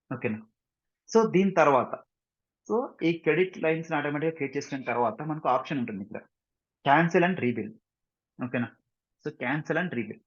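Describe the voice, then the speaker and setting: A man with an Indian accent giving an informal software tutorial, repeating himself, mixing in English terms and often checking that listeners follow. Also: on the high side, husky, muffled recording. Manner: repetitive, frequent check-in questions, mixes English terms into speech. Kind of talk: screen-share tutorial, informal explanation.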